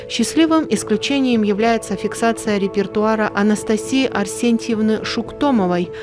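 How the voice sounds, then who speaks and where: A woman delivers scripted documentary narration at a middle pitch. Music plays clearly behind her voice.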